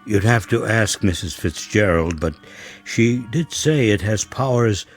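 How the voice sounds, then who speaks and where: Casting: a cautious, courteous butler type for radio drama, very deep register, formal delivery, faint music behind. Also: male voice, expressive, unhurried. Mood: deferential, careful. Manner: formal, hesitant, tactful. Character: deferential male household servant, polite and cautious.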